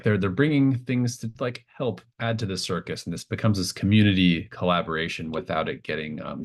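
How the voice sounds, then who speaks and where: Man, low pitch, game master, casual improvised narration, halting, encouraging. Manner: halting, thinking aloud, encouraging.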